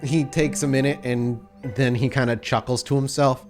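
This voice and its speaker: A man's voice: mid-range voice, steady tone, moderate pace, music in the background. A man improvising third-person narration of his character as a player in a tabletop roleplay.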